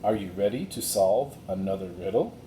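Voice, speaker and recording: A man voicing a kindly, mysterious enchantress librarian in a storybook, in a calm, measured manner. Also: lowish voice, echoey room, slight background noise, close to an everyday voice. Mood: instructing.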